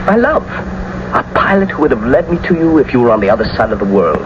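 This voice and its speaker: A man's voice: medium pitch, sing-song, fast talker, close to an everyday voice. An actor playing an impetuous young nobleman in love, speaking with poetic fervor in a classical radio drama. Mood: ardent. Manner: poetic, fervent, theatrical delivery. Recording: muffled, noisy old radio broadcast recording.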